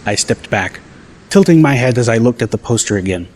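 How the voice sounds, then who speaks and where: A man narrating an audiobook in the first person, measured and dryly wry. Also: mid-range voice, animated, darkish tone. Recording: clear music bed behind the voice.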